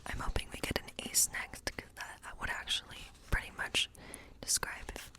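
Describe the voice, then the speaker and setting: A woman whispering softly and breathily in an ASMR role play as a friendly witch, reading tarot in an informal, encouraging, rambling way. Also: moderate pace.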